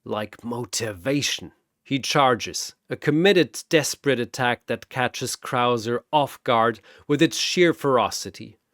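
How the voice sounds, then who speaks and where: A man's voice: mid-range voice, measured pace, few pauses, a bit bright, no echo. Man narrating a dramatic battle story, dry sound. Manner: theatrical, punchy.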